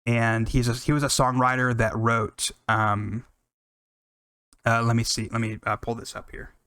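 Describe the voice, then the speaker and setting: A man's voice: mid-range voice, flat delivery, a little slow, a bit bright, clean tone, no room sound, clean studio sound. An American man hosting a music podcast, talking casually and enthusiastically in a rambling, unscripted way with some swearing.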